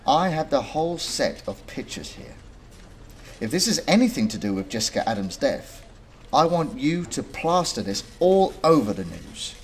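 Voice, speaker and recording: A male actor plays a young conspiracy buff in a radio drama. He is earnest and casual, with a very mobile mid-pitched voice and slight ambient noise behind him. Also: natural voice. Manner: informal, earnest storytelling. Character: eager young male photography student, conspiracy nut.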